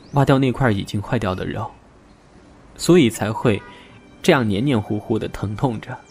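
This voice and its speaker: Male actor as reserved young lover, hurt but firm, measured and serious audio drama delivery, mid-pitched, music underneath. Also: darkish tone, natural voice. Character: reserved, wounded young man setting terms to a former lover. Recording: music behind the voice.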